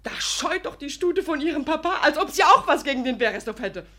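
An actress playing a cheeky, gossipy young maidservant in a radio comedy, eager and teasing. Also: female voice, high-pitched, lively intonation, brisk, bright, forceful tone. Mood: gleeful, excited. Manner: breathlessly eager, giggly, teasing. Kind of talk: animated radio comedy storytelling.

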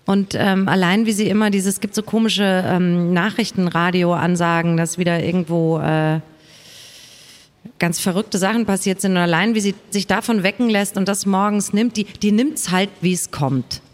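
A woman speaks as an author on a live panel, with a mid-pitched voice. She is reflective and halting, circling back over words in a conversational way. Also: fairly even intonation. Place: live stage at a book fair.

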